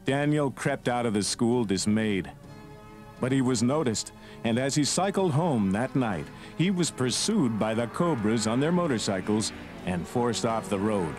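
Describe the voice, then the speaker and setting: A man's voice: medium pitch, lively intonation, a little slow. A man reading scripted narration for a read-along children's story. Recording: narration from an old cassette. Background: loud music.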